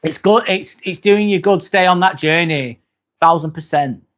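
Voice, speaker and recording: Chatty Manchester-accented man, slightly high voice. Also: normal speed, a bit bright. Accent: northern English, near Manchester. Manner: chatty, friendly, frequent "um" fillers. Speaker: livestream host. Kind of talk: casual livestream chat reading comments.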